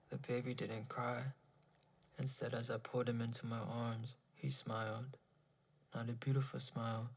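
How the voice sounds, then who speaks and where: A man with a gravelly, husky voice reading a first-person horror story in a brooding, dramatic, deliberate way. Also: medium pitch.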